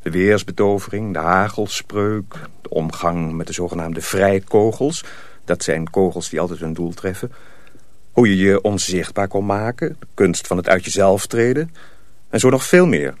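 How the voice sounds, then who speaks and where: A man's voice: sits low, normal speed, no echo. A man narrating a radio drama as a storyteller, reading scripted prose dramatically.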